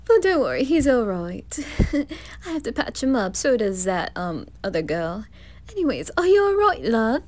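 A woman's voice: on the high side, very animated, measured pace, long pauses, darkish tone, a little hiss or hum. A woman voicing a flamboyant, gushing male grim reaper with a flirty, sing-song delivery in an ASMR roleplay. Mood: gleeful fussing.

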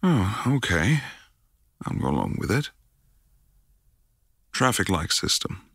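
A man acting a very deep, gravelly, amorous boyfriend. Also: very animated, fairly bright tone, dry recording, studio quality, exaggerated voice. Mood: yielding, aroused. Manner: breathy, murmuring, lingering hums and pauses. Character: affectionate, playful young boyfriend in love. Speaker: audio roleplay performer. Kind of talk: intimate boyfriend ASMR roleplay. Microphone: close, dry microphone.

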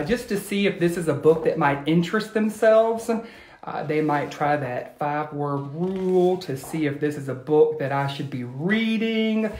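An American man, a teacher in his thirties, reading aloud to children and asking them questions in an expressive, instructional way, recorded on a phone at home. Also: a little high. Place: small room at home, indoors. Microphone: phone at arm's length. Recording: echoey room, phone recording.

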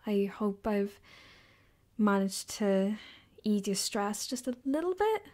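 A woman playing a caring, affectionate girlfriend in an ASMR comfort roleplay, speaking softly and gently with hesitant, reassuring warmth. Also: medium pitch, big pitch swings, slow. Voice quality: soft, gentle, sometimes dropping to a whisper.

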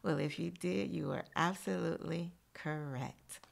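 A woman's voice: low voice, a little slow, creaky. A woman teacher reading a picture book aloud expressively to young children, adding encouraging asides addressed straight to them.

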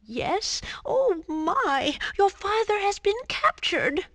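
A woman's voice: very high voice, sing-song, slow pace, fairly bright tone, no room sound, studio quality, exaggerated voice. A woman voicing a young princess in a cartoon game, fretting over bad news. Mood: worried. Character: young princess narrating a magic vision. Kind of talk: 1990s cartoon game voice acting.

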